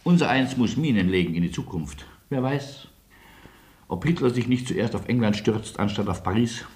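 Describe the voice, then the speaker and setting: An actor playing a wry, anxious middle-aged refugee in a radio play, reasoning with deliberate irony and old-world courtesy. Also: male voice, fairly low pitch, lively intonation, a little mellow, husky. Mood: wry, insistent. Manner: deliberate, pointed, ironic reasoning. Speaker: lead character and narrator. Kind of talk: theatrical radio play acting and narration.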